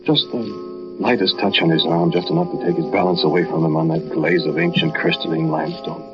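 An actor playing a brooding, jealous murderer, narrating with deliberate intensity in a 1940s radio drama. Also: male voice, low-pitched, expressive. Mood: brooding confession. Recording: muffled 1940s radio recording, loud music behind.

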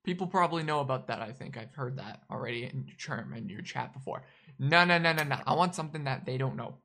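A woman's voice: a bass voice, lively intonation, fast, vocal fry, fairly bright tone. A woman hosting a live stream, casually interviewing her guest and teasing her for answers. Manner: teasing, pushes for answers, talks to chat.

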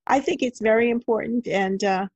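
A woman's voice: fairly high pitch, normal speed, a little mellow, no room sound. A woman hosting an interview, asking questions and commenting in a conversational way, on a dull, lo-fi recording. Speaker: interviewer, host.

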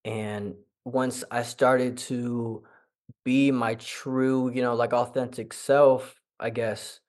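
A young man being interviewed who speaks with a stutter, halting often and filling pauses with many fillers. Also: mid-range voice, little pitch movement, a little mellow. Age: young adult. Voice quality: stutters with repetitions. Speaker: interview guest. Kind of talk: conversational interview.

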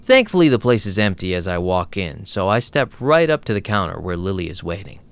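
A man's voice: low voice, very animated, brisk, clean tone. A man playing a wry, streetwise vampire DJ, narrating an audio drama in a sardonic, casual way.

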